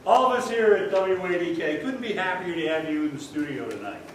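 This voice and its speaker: A man's voice: high-pitched, expressive, fairly quick. A man playing an upbeat, theatrical 1940s radio show announcer, welcoming a live audience as host. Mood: grand, welcoming. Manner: theatrical, showy, addressing the audience. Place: stage of a performing arts hall. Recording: echoey hall from far off, faint noise.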